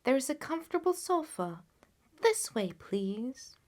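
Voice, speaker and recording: A genteel, composed lady of the house from a classic storybook, played by a woman narrator. She speaks kindly and politely, with a gracious manner and a slightly raised pitch. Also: big pitch swings, unhurried, a little mellow. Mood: gracious, concerned.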